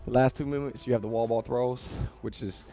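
A man's voice: mid-range voice, steady tone, fairly quick, warm tone. A man in his thirties coaching a workout to camera in a casual, direct, motivational way, recorded in a small gym. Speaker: fitness coach. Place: small home-style gym with rubber floor, indoors.

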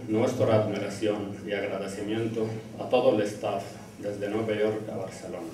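Low-voiced man reading an echoey speech. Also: darkish tone, far from the mic, a little hiss or hum. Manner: measured, reading from notes. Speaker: graduating student giving the class speech. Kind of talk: formal speech read from a lectern. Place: indoor classroom, standing at a lectern. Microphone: lectern mic, filmed by a far camera. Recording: echoey room heard from afar.

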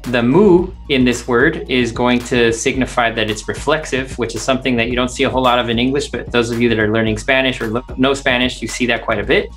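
A man narrates a language lesson in a mid-pitched voice. He explains words and their meanings clearly and methodically, as a teacher would. Music plays behind him. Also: fairly even intonation, fast, hardly any pauses, fairly bright tone. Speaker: teacher, translator.

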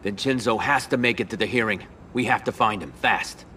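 An actor playing an earnest, loyal young mafia don in a video game, with an American accent. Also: male voice, lowish voice, brisk, pushed and bright, a little hiss or hum. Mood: serious, urgent. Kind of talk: dramatic video game acting.

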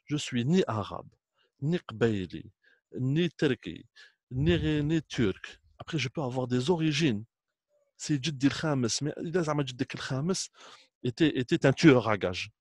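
A man's voice: medium pitch, lively intonation, normal speed, slightly rough, no room sound, studio quality. A man in his thirties with an Algerian accent, talking informally as a trainer, emphatic and repetitive, switching between languages. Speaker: trainer speaking to students. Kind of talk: informal talk to camera, switching between French and Arabic.

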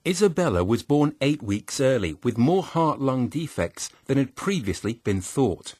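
A man with a husky voice, reading scripted documentary narration. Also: medium pitch, big pitch swings, moderate pace, warm tone, dry recording, clean studio sound.

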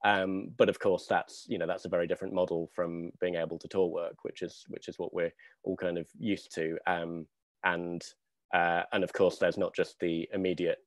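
Low-voiced man, hesitant and halting. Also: flat delivery, dry recording. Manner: hesitant, halting with long searching pauses. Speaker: theatre maker, panelist. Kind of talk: panel discussion, thinking aloud. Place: small dim room at home, video call. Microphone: wired earphone mic on video call.

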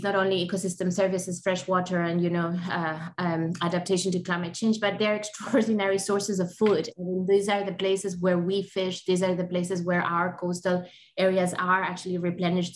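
A woman's voice: lowish voice, a little hiss or hum. A woman in her forties from Ecuador, speaking on an online panel over a video call, earnest and full of fillers and restarts. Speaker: panelist from a bird conservation group. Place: home or office on a video call, indoors. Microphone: laptop webcam mic on a video call.

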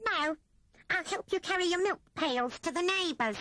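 A woman's voice: very high voice, moderate pace, sharp tone, no room sound, pitched above the performer's own voice. A woman voicing a thin, timid male rat thief in a storybook, in a supplicating manner. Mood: eager.

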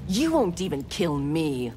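A woman's voice: low voice, very animated, measured pace, warm tone, a little hiss or hum. An actress voicing a stern, armored female clan warrior in a fighting game, speaking in a cold, clipped, menacing way. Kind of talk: game intro banter. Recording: game audio with music behind.

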